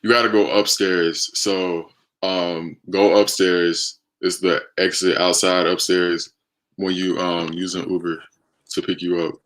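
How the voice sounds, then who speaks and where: A man's voice: low-pitched, little pitch movement, unhurried, fairly bright tone, low-quality recording. An American man hosting a casual live stream, chatting conversationally as he takes viewer questions. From United States. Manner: conversational, reading and answering viewer comments.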